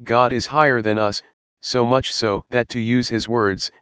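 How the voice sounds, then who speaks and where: Man reading a Bible study, smooth and dry. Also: mid-range voice, steady tone, unhurried, fairly bright tone, no echo. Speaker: Bible teacher.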